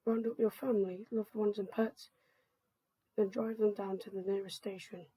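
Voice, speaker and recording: A woman playing a government emergency announcer, reading a scripted evacuation alert in a formal, measured manner. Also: mid-range voice, little pitch movement, mellow, breathy edge, no room sound, natural voice, low-quality recording. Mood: grave, urgent.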